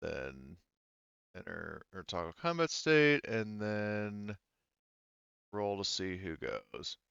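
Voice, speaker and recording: A man running a tabletop roleplaying game as game master, talking casually and haltingly, with informal wording and some swearing. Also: fairly low pitch, sing-song, gravelly, a bit bright, smooth, dry recording. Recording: dull, lo-fi audio.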